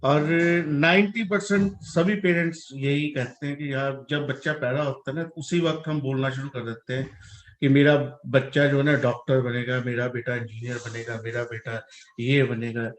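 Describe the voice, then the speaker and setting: A man's voice: mid-range voice, steady tone, moderate pace, roomy sound, low-quality recording. A man in his forties from India, a parent speaking earnestly and reflectively in a casual talk, mixing English words into his speech. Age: 40s. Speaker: parent guest.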